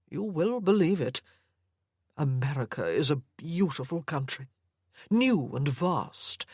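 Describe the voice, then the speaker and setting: A woman reading the part of an obsessive older gentleman who has kidnapped his supposed daughter. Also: sits low, slightly rough, no room sound, clean studio sound, heavily acted. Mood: smooth.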